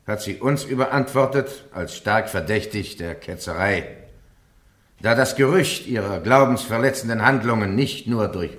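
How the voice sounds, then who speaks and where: An actor playing a stern, formal bishop who presides over a trial in a solemn, measured, ceremonious way in a radio play. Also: male voice, on the low side, fairly bright tone. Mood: solemn, declamatory. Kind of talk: German radio play acting. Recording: echoey courtroom-like hall, radio drama.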